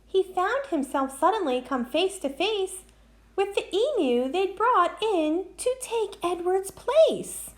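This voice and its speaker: A woman reading a children's picture book aloud with rhythmic, expressive storytelling. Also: very high-pitched, takes it slowly.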